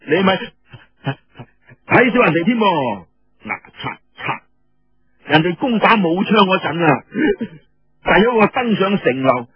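A man's voice: high-pitched, very animated, a little slow, pauses often, slightly rough, no echo, dull audio, voice pushed up, exaggerated voice. A man voicing a drunk, brash, devil-may-care young rebel warrior in Cantonese, stammering and blustering. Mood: scolding.

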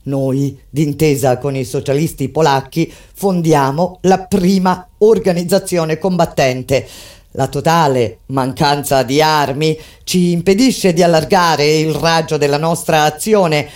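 A woman playing a grave resistance fighter, narrating a theatrical monologue in a solemn, deliberate and emphatic way. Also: sits low. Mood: grave, measured. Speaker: stage actress narrator. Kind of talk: dramatic theatrical monologue over live music. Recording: loud live music under the voice. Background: guitar and instrumental music.

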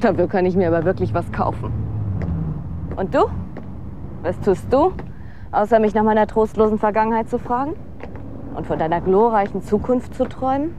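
A woman acting in a German radio drama as a sardonic, world-weary young aspiring actress with a deadpan, cynical delivery. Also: mid-range voice, moderate pace, pauses often, lightly acted. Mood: bitter, deadpan. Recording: clear outdoor street and traffic noise. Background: city street ambience with vehicles.

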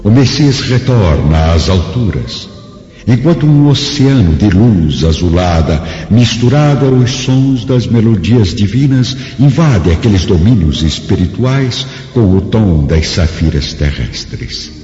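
Um homem narrando uma radionovela com solenidade, em estilo grandioso e compassado. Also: male voice, fairly low pitch, lively intonation, mellow. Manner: grandiose, measured. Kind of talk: solemn scripted radio drama narration. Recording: dull, echoey long reverb, music behind.

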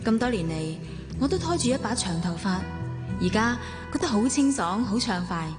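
A woman's voice: a little high, lively intonation, moderate pace, over music, lightly acted. Actress as earnest wealthy young mother, reverberant. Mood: resolute. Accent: Hong Kong Cantonese. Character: troubled wealthy wife and devoted mother, starting afresh. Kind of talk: Hong Kong radio drama acting and first-person narration.

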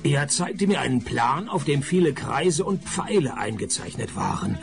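A sardonic private eye in a radio drama. His creaky voice rides up and down in pitch as he narrates with dry, weary sarcasm. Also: big pitch swings, moderate pace, faint music behind. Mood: wry, weary. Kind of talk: radio play narration and dialogue.

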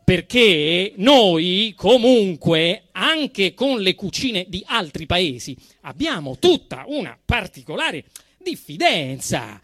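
Animated, high-pitched Roman man performing comic monologue. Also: slow, no room sound. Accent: Roman Italian. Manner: indignant, emphatic, mock-solemn delivery with dramatic swings. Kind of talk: theatrical satirical monologue, storytelling.

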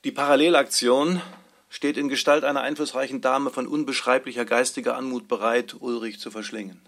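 A man announces a scripted heading in a literary radio production. His voice is mid-pitched with a gravelly creak. The delivery is that of a read announcement. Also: fairly even intonation, a little fast, fairly bright tone.

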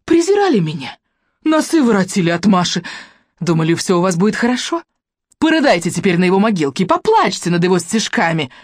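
A woman's voice: fairly high pitch, animated, a little slow, pauses often, dry recording, clean studio sound, voice pushed up. An audiobook narrator voicing a coarse, vicious middle-aged woman who mocks and screams in rage. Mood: raging. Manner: mocking, shouting in rage.

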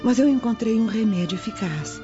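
A woman's voice: on the high side, lively intonation, a little fast, close to an everyday voice. A woman playing a worldly, knowing Roman matron confiding in a friend in a radio drama. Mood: reassuring. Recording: dull lo-fi audio over music.

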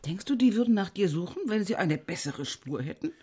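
A woman's voice: medium pitch, expressive, fairly quick, a little mellow, slight background noise, lightly acted. An actress playing a sardonic, critical woman in naturalistic radio drama dialogue.

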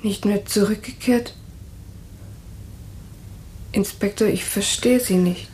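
An actress playing a shaken, confused young widow in a radio drama, asking bewildered questions. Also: female voice, mid-range voice, fairly even intonation, takes it slowly, darkish tone, a little hiss or hum, lightly acted, poor audio.

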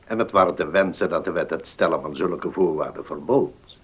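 Husky, deep-voiced old notary. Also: male voice, a bit bright. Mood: fatherly, advising. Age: older. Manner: hesitant, deliberate, formal. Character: weary old village notary, courteous and measured. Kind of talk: Dutch radio drama acting.

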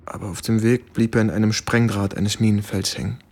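An actor playing a shaken American soldier who recounts wartime horror gravely and haltingly in a German radio play. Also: male voice, fairly low pitch, steady tone, darkish tone, close to an everyday voice. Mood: bitter, weary. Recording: studio radio drama with music underneath.

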